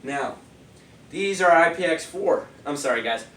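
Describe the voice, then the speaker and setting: A man's voice: on the high side, lively intonation, measured pace, pushed and bright, far from the mic. Sniffly man reviewing speakers, echoey room. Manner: rambling, loose, many fillers. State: stuffy nose, sniffly. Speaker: product reviewer. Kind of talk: casual product review.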